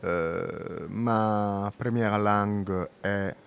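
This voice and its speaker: A man working as a live interpreter, rendering a speaker's words in the first person in a measured, slightly hesitant way. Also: fairly low pitch, vocal fry.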